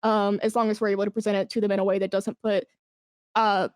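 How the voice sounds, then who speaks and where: A woman chatting casually as an interview guest, telling work stories in a candid, slangy, animated way. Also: mid-range voice, fast, dry recording, clean studio sound. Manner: candid, animated storytelling with slangy asides and acted-out quotes. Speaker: esports brand manager, podcast guest.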